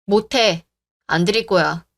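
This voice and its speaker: A woman voicing a calm, firm young woman who argues in a reasoned way in a story radio drama. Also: mid-range voice, measured pace, vocal fry, no echo, clean studio sound.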